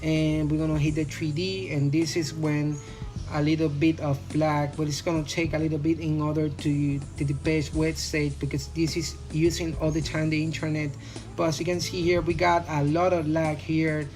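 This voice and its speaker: A man's voice: a little high, flat delivery, moderate pace, a little mellow, faint music behind. A man whose first language is Spanish, speaking English with a Spanish accent as he casually reviews a phone in a rambling voice-over full of "you know". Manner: rambling, filler-heavy, repeats "you know". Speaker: tech YouTuber.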